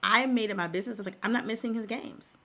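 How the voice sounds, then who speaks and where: A woman's voice: fairly high pitch, fast talker, fairly bright tone. A middle-aged woman who works as a career coach, talking as a guest in a podcast interview. She is emphatic and repeats words for stress.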